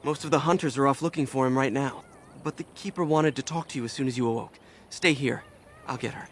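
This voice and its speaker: A man's voice: mid-range voice, fast talker, slight background noise, lightly acted. A man playing a concerned, friendly young elf clansman in a fantasy game, earnest and plain-spoken. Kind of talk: fantasy game dialogue acting. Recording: music behind the dialogue. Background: background music.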